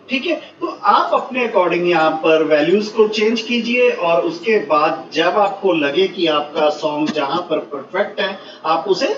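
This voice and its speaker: A man giving step-by-step software instructions, mixing in English technical words. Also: sits high, slight background noise. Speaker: software tutorial presenter. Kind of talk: step-by-step screen tutorial. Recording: muffled, roomy, distant mic, faint music.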